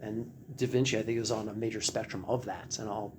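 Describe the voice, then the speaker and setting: A man's voice: lowish voice, level tone, a little fast, background noise. A man presenting at a seminar, thinking aloud in discussion and answering others with listening murmurs. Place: lecture room.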